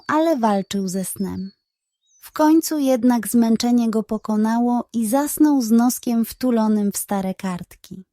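Mellow, unhurried woman reading bedtime stories. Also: a little high, dry recording. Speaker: narrator of children's audio stories. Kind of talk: scripted bedtime story narration.

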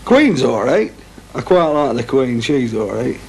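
A man's voice: medium pitch, sing-song, unhurried. A middle-aged man with a Lancashire accent, talking bluntly and with wry jokes in a casual interview at home. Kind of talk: casual opinion chat. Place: home living room. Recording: 1980s TV film with faint noise.

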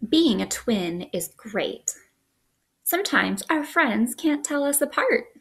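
Slow-paced woman reading to young children. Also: high voice, creaky. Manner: sing-song, expressive, asks children questions. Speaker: children's librarian, storytime host. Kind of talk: picture book read-aloud for young children. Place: small living room indoors.

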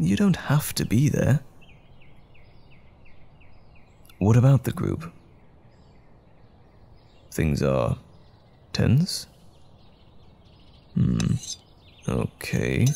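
A man playing a loving, caring boyfriend in an intimate comfort audio roleplay, speaking gently and soothingly with affectionate teasing. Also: fairly low pitch, vocal fry, exaggerated voice. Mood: soft concern.

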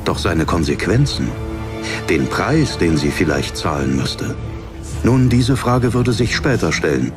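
A man's voice: deep voice, big pitch swings, a little slow, over music. A man reading a dramatic fantasy audiobook as narrator.

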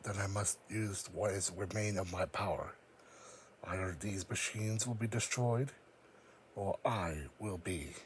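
Man playing weary psychic creature for fan audio drama: low-pitched, grave, formal, noisy home recording. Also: fairly even intonation, a little slow. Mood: defiant. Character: solemn, weary, psychic cat-like creature, proud and wary.

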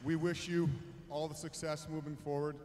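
A man's voice: fairly high pitch, flat delivery, moderate pace, a little mellow, slight background noise. Man speaking in an echoing arena. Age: middle-aged. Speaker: team representative presenting an award. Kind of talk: formal ceremonial speech. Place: on the ice in an indoor arena. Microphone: handheld microphone.

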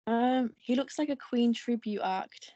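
A woman student answering her teacher on a video call, halting and unsure. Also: fairly high pitch, mellow, highs cut off, a little hiss or hum.

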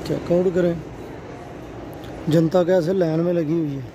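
Male travel vlogger, thirties, higher-pitched voice; casual repetitive tour-guide patter on a phone camera with audible noise behind him. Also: steady tone, unhurried. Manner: repeats "check karein ji", chatty tour-guide narration. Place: car in traffic, then large indoor shopping mall. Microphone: handheld phone camera. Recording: background noise.

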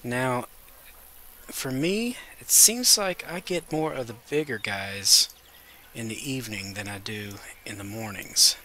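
Congested man chatting over gameplay, bright tone. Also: mid-range voice, a little slow, slight background noise. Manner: relaxed, chatty, talks to viewers as guys. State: congested with a cold. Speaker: gaming video host. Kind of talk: casual gameplay commentary.

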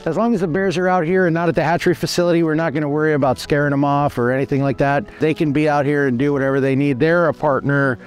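A man's voice: fairly high pitch, steady tone, fast talker, few pauses. A man in his forties explaining a fish hatchery outdoors by a creek, earnest and matter-of-fact with frequent restarts. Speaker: hatchery manager giving a tour. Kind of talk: on-location explanatory tour.

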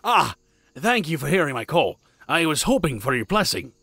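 A man's voice: fairly high pitch, big pitch swings, normal speed, creaky, pushed and bright, breathy edge, no echo, heavily acted. A man playing an earnest wood elf druid in a fantasy audio drama, hesitant and stammering. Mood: reverent, eager.

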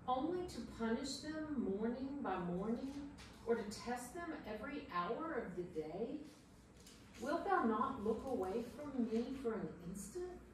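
Slow, anguished sufferer in an echoey room, read by a woman. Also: fairly high pitch. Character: anguished sufferer, despairing man crying out against God. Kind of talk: dramatic scripture reading. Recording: echoey room from far off, faint noise.

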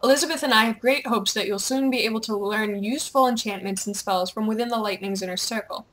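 A young woman gamer with a playful, excitable, teasing manner, chatting over gameplay and reading game dialogue aloud. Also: a little high, quick pace. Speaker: gamer, video host.

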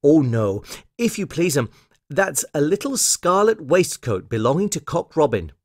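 A man's voice: fairly high pitch, very animated, unhurried, no room sound, clean studio sound, pitched above the performer's own voice. A man voicing a fussy, eager little female hedgehog washerwoman from a children's storybook, with flustered, hesitant politeness. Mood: flustered, polite.

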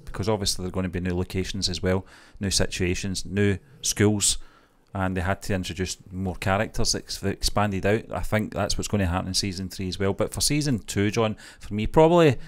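Low-voiced man, slightly halting. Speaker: YouTube reaction show co-host. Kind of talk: casual review chat. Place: small indoor home studio. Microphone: close microphone on boom arm.

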